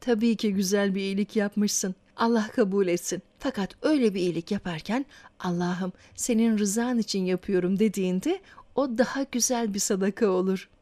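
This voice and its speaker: A woman playing a gentle, devout, encouraging mother in a children's cartoon, speaking patiently. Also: medium pitch, normal speed, close to an everyday voice. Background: background music.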